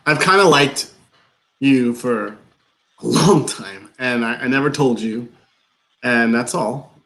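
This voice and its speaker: A man in a live play reading, playing an awkward, nervous man who rambles through a confession in halting, over-explained dialogue. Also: medium pitch, fairly bright tone, low-quality recording. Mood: earnest, flustered.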